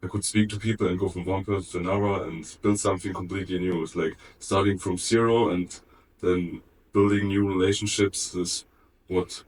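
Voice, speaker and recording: Very deep, monotone man, earnest and halting, distant mic. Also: a little hiss or hum. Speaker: interviewee. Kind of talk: documentary interview.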